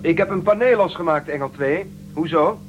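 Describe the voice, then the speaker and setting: A man's voice: fairly high pitch, moderate pace, lo-fi sound, over music, close to an everyday voice. A man playing a rebellious, curious young space traveller in a radio drama, assertive and confident. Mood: busy, confident. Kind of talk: Dutch radio drama acting.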